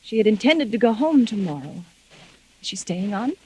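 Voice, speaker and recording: Husky, anxious wife in vintage radio drama. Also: female voice, mid-range voice, big pitch swings, a little mellow, poor audio. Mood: suspicious, subdued. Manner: anxious, insistent, halting. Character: frightened, suspicious wife haunted by fears of murder. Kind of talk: 1940s radio drama acting. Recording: vintage 1940s radio recording.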